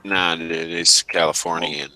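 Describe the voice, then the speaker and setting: Deep-voiced man, crisp bright tone, dry deadpan delivery in casual chat. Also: unhurried, low-quality recording.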